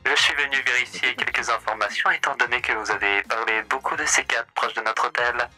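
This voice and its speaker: A man in a roleplay playing a suave hotel host, speaking in a smooth, theatrical way with drawn-out hums. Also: mid-range voice, steady tone, pushed and bright, soft background music, poor audio. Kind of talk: in-character roleplay chat.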